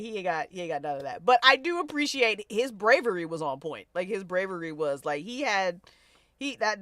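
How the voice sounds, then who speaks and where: A woman hosting a casual panel chat, talking in an animated, slangy and emphatic way. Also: mid-range voice, dry recording, clean studio sound. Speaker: host leading a fan discussion panel. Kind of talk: casual panel chat about a fiction podcast.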